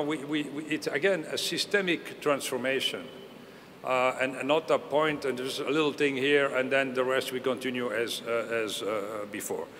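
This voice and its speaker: A man's voice: mid-range voice, a bit bright, slight background noise. A man in his sixties answering questions on a conference panel, earnest and full of restarts, into a table mic. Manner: earnest, restarts and hedges often. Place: large conference hall. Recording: reverberant hall, faint ambience.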